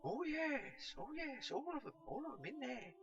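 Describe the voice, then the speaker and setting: A man's voice: very high voice, sing-song, moderate pace, a bit bright, highs cut off, slight background noise, pitched above the performer's own voice, heavily acted. A man voicing a nervous, flustered little man who talks in a hesitant, fussy, repetitive way. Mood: humouring, evasive.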